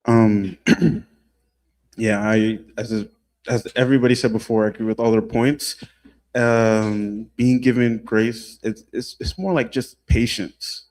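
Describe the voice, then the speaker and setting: A young man who teaches youth, speaking in a measured and reflective way on a panel. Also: fairly low pitch, steady tone, darkish tone. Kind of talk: panel discussion.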